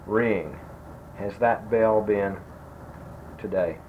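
A man in his sixties, speaking softly and slowly as he asks grammar fill-in-the-blank questions, his sentences trailing off. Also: on the low side. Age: 60s. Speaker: interviewer giving a grammar quiz. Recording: dull lo-fi tape with faint noise.

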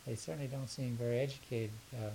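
A man's voice: medium pitch, fast, vocal fry, low-quality recording. An older man answering interview questions casually, in a hesitant, rambling way that often repeats words. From southern United States. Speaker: interviewee, education consultant. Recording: faint steady hiss.

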